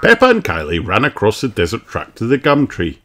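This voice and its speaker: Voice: mid-range voice, huge pitch swings, a little fast, fairly bright tone, slight background noise. A narrator reading a children's picture book aloud as scripted narration. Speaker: storybook reader.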